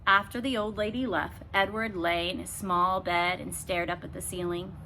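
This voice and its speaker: A woman reading a children's book aloud expressively, with emphatic phrasing and dramatic pauses. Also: lowish voice, fairly even intonation, sharp tone, poor audio. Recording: faint background noise.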